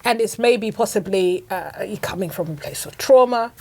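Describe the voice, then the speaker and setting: A middle-aged woman from Zimbabwe whose first language is Shona, co-hosting a podcast as a lively storyteller who repeats her phrases. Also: medium pitch, normal speed. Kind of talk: casual chat.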